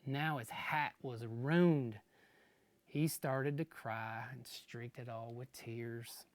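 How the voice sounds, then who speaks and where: A storyteller reading a children's tale aloud in a folksy, expressive style, with dramatic emphasis and deliberate phrasing. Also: medium pitch.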